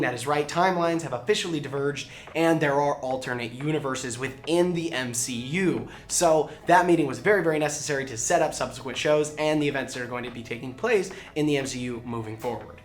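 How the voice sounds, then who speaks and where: Hoarse, enthusiastic man hosting over music. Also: fairly high pitch. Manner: enthusiastic, emphatic, informal. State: hoarse from shouting. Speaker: host of a gaming and entertainment update show. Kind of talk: casual YouTube news update, addressing viewers. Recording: music under the voice. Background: background music.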